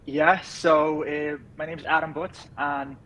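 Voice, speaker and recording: Flat-toned Liverpool man, easygoing. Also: a little high, unhurried, a little hiss or hum, low-quality recording. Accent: Liverpool, softened. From England. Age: 30s. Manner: easygoing, rambling with many fillers. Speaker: podcast guest, business founder. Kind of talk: casual interview chat.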